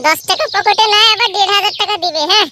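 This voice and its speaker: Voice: very high-pitched, racing, noisy background. An actor voicing a bossy, childlike character in a comedy cartoon, scolding and childishly insistent. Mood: scornful.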